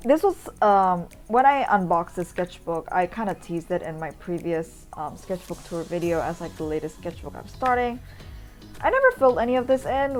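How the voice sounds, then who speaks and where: A woman from Indonesia talks in a slightly low voice with soft music playing behind her. Her delivery is informal and chatty, like a vlogger recording a casual voice-over tour. She rambles loosely from one thought to the next. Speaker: vlogger, urban sketcher. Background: background music.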